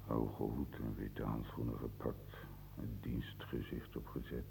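A bottomless, creaky bass, this is a dead old drunken pallbearer telling his own tale. He drags out his rambling, theatrical radio-play monologue with ghostly self-mockery, over a noisy old recording. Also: warm tone, heavily acted. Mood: musing, rambling. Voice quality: very deep, gravelly. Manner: slow, rambling, theatrical monologue, buttonholing the listener.

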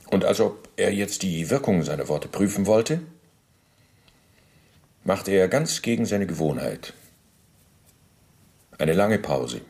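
An actor in a radio play voicing a middle-aged Nazi bureaucrat under interrogation, formal, halting and self-justifying, with deliberate pauses. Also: male voice, low-pitched, big pitch swings, darkish tone, heavily acted. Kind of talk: German radio play, testimony monologue.

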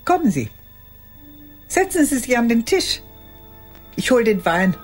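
Casting: an elderly, lonely woman in a radio drama, reflective and measured, with very varied intonation, music underneath. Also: fairly high pitch, sing-song, darkish tone. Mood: polite, hospitable. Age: older. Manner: measured, reflective, short pausing phrases. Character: old woman recalling her childhood and lost love, lonely. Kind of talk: intimate radio play monologue.

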